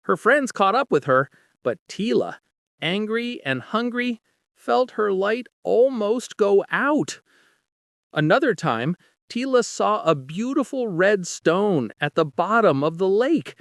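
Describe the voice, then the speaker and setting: A man narrating a bedtime story in a gentle, soft, unhurried way. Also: high-pitched, very animated, dry recording, clean studio sound.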